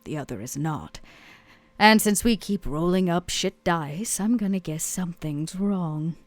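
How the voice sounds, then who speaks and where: A woman voicing a weary, sardonic female vampire club owner in a tabletop roleplay drama, wry and given to dramatic pauses. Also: fairly low pitch, expressive, no room sound.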